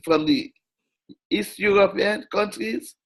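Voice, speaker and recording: An older woman chatting warmly on a video call, encouraging and affirming, with frequent agreement. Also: mid-range voice, takes it slowly, low-quality recording. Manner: encouraging, affirming, many backchannels. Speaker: Toastmasters region advisor. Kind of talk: casual video call chat.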